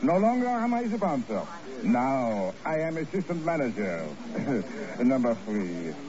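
Lively French casino manager. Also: male voice, medium pitch, measured pace, noisy background. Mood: genial, proud. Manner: effusive, relishing, courteous. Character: jovial French casino assistant manager, former bouncer. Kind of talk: 1950s radio drama acting. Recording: muffled old radio recording, crowd murmur.

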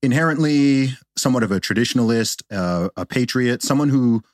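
A man's voice: medium pitch, a little fast, no echo. A man hosting a podcast interview in a studio, asking questions in an eager, conversational way. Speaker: podcast host.

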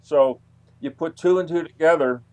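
A man speaking as an interview guest, recounting anecdotes casually in a halting, hesitant way with long pauses. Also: fairly high pitch, darkish tone, poor audio.

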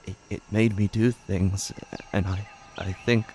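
A man's voice: on the low side, level tone, dark tone, a little hiss or hum, natural voice. Man acting frightened, stammering young man. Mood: haunted, confused. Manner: halting, stammering, repeating himself. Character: frightened, guilt-ridden young man afraid of damnation. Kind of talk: horror audio drama acting.

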